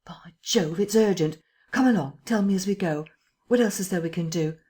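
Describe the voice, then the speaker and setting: A woman reader voicing a decisive police chief, a man who speaks in brisk, clipped orders. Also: fairly low pitch, warm tone, pitched above the performer's own voice, natural voice.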